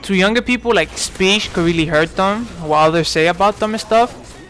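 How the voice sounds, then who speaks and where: A man's voice: high voice, normal speed, fairly bright tone, slight background noise. Rambling young gaming commentator, man. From New York. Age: 20s. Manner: rambling, lots of fillers and restarts. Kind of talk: casual gameplay commentary.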